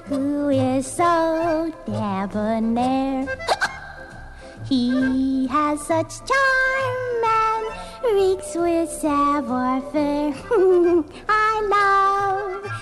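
A woman playing a giggly, tipsy lady band singer in a parody novelty song, delivered slowly with gasps on an old comedy record. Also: very high voice, expressive, over loud music, exaggerated voice. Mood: giddy, tipsy. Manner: slow, giggly, gasping delivery.

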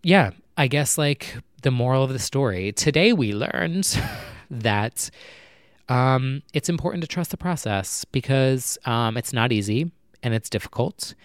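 A young man chatting casually at night, rambling with lots of fillers and talking low so his neighbors won't hear. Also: animated, a little slow, clear, resonant tone, dry recording, studio quality. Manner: talking low and quietly to keep neighbors from hearing. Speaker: vlogger. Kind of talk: casual personal chat to camera. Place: apartment at night.

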